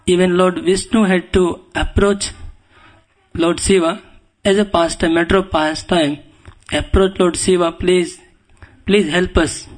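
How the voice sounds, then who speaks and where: A man with an Indian accent giving a devotional scripture lecture as a guest preacher, speaking humbly and haltingly and often clearing his throat. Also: a little high, low-quality recording. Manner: humble, deferential. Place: speaking at a temple.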